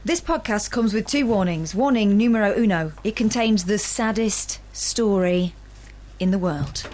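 A woman's voice: mid-range voice, normal speed, a little mellow. A woman co-presenting a radio show, making wry, dry asides in a studio. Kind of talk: casual chat.

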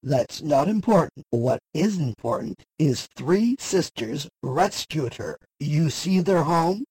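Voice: mid-range voice, expressive, takes it slowly, darkish tone, breathy edge, dry recording, full character voice, poor audio. A performer voicing a wicked, imperious, irritable sorceress, scornful and commanding, in a fantasy audio drama. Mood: irritated, commanding.

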